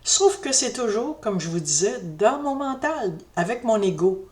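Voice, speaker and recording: A middle-aged woman tells a personal story to camera in a slow, reflective way, often pausing and restarting her sentences. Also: on the high side, lively intonation. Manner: slow, reflective, hesitant, with many fillers and restarts. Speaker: spiritual vlogger.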